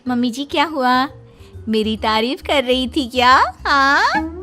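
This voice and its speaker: Woman as mock-sweet young daughter-in-law, cartoon drama, cheeky, sharp-tongued, animated intonation, high-ish voice. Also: slow pace, soft background music, exaggerated voice. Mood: sassy, knowing. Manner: sugary politeness with sassy bite. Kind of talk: Hindi cartoon story voice acting.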